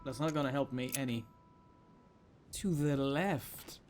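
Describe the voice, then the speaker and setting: A man's voice: medium pitch, a little slow, a little mellow, soft background music. A man giving casual let's play commentary, thinking aloud informally as he works through a game. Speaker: gamer playing a puzzle game.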